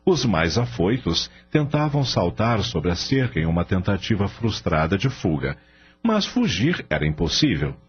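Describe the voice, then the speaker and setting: Guy narrating radio play, lowish voice, really animated, music behind, bit muffled. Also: normal speed. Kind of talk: dramatic scripted narration. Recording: dull sound under clear music bed.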